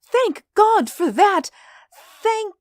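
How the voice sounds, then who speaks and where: A man's voice: extremely high pitch, very animated, measured pace, darkish tone, dry recording, clean studio sound, voice pushed up, heavily acted. A man voicing an anxious elderly mother in a story, fretful and breathless, with urgent questions. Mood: desperate relief. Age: older.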